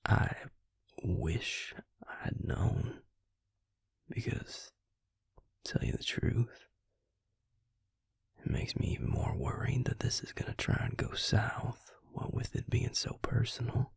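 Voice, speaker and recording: Male vampire mate for romantic audio roleplay, acted by a man. Slow, gentle, protective delivery, heavily gravelled and half-whispered. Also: fairly low pitch, sing-song, no echo, studio quality, full character voice. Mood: worried, tender. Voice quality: husky, breathy, gravelly near-whisper.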